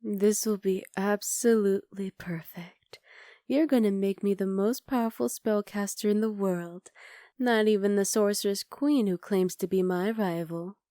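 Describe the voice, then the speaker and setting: A woman voicing a scheming, possessive witch in an ASMR roleplay, speaking softly and often half-whispering close to the mic. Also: medium pitch, measured pace, no echo, lightly acted. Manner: soft, intimate, coolly calculating, often half-whispered. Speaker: voice actor.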